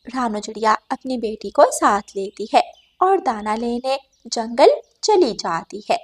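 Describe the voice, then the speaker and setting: A woman's voice: a little high, big pitch swings, measured pace. A woman reading scripted narration for a children's cartoon story.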